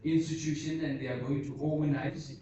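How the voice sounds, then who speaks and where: A man whose first language is Bhoti gives an unscripted seminar talk, halting and searching for words as he speaks slowly and earnestly. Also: on the high side, fairly even intonation, warm tone. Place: seminar hall. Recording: echoey hall, distant dull mic.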